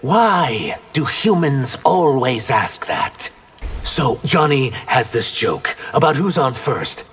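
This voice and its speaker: An earnest lizard-man fighter from a video game. He is very breathy and raspy, with slow lines that swing widely in pitch. His manner is guarded and dry. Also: big pitch swings, a bit bright, soft background music, heavily acted. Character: wary, earnest male reptilian humanoid warrior. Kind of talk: video game character dialogue.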